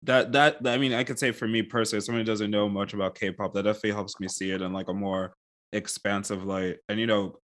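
A man's voice: on the low side, steady tone, fast talker, a bit bright. A man hosting a podcast interview, asking thoughtful questions in a hesitant way, with many fillers. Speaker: podcast host. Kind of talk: casual interview chat.

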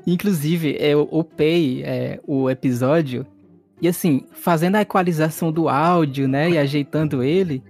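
A man's voice: fairly high pitch, normal speed, darkish tone. Brazilian man with music behind him. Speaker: podcast player and co-host. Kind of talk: casual banter on a role-playing podcast.

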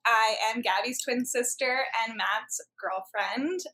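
A woman's voice: a little high, takes it slowly, pushed and bright, low-quality recording. A woman in her twenties, a student guest on a podcast, chatty and giggly. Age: 20s. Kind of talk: casual chat.

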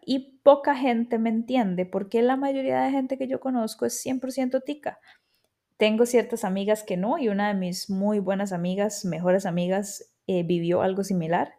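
Mellow-voiced Costa Rican woman podcaster. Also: mid-range voice. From Costa Rica. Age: 30s. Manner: reflective and chatty, with frequent quoted asides. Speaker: podcast host. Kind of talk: casual personal monologue.

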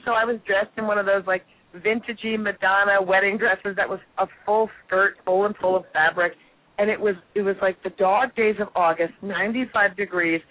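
Hoarse woman telling stories over a phone. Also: medium pitch, dry recording. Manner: animated, self-deprecating storyteller. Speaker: guest comedian. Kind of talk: storytelling on a radio interview. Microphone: telephone. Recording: tinny phone line.

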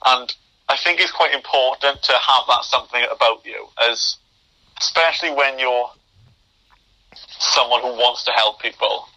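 A man's voice: medium pitch, normal speed, bright, forceful tone, low-quality recording. A young man who stammers, with repeated word starts and blocks, speaking as a guest in a podcast interview over a remote call. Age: young adult. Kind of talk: interview conversation.

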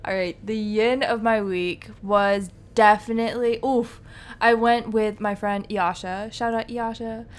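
A woman co-hosting a casual podcast, soft-spoken and laughing easily as she chats. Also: mid-range voice, fairly even intonation, a little slow. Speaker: podcast co-host. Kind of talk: casual chat.

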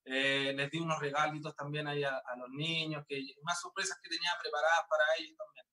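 A man's voice: fairly high pitch, flat delivery, fast, bright, forceful tone. A young man, a singer chatting in an interview, upbeat and full of fillers and restarts. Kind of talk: casual interview chat.

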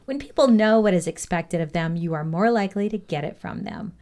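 A woman with a slightly low voice reads a scripted lesson aloud. She delivers it as instructional narration, point by point, in the role of a reader of personal leadership values. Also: a little fast, darkish tone.

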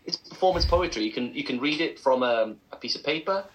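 Playful male co-host with a bright, lively voice. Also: medium pitch, a little fast, lo-fi sound. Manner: playful, laughs often, animated. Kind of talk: casual radio chat.

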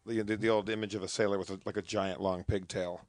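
A man's voice: fairly low pitch, flat delivery, fast talker, dry recording. A man co-hosting a casual podcast, trading crude jokes and musing aloud. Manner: crude, joking banter with bursts of indignation. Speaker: podcast co-host.

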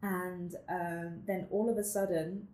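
A woman's voice: fairly low pitch, flat delivery, takes it slowly, mellow, echoey room, slight background noise. A woman from the Netherlands in her twenties, a guest telling her life story in a casual interview, reflective, laughing often and using many fillers.

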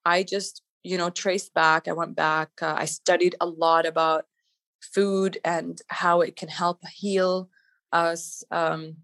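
A woman's voice: lowish voice, level tone, takes it slowly, a bit bright. A middle-aged woman from Canada, talking in an upbeat, chatty way as an interview guest, with lots of "like" and "you know". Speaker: guest entrepreneur. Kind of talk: casual interview talk.

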